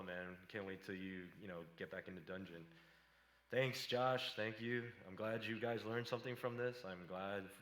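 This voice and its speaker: Low-voiced man in a roomy studio. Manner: loose and chatty, many fillers, warmly thanking viewers. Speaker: electronic music producer. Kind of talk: casual livestream tutorial. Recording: roomy echoey sound.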